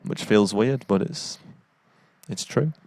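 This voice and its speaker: A man's voice: lowish voice, takes it slowly, no room sound. A man from England, chatting playfully about his band outdoors. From northeast England. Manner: joking, relaxed, playful. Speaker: band frontman. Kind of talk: casual band chat.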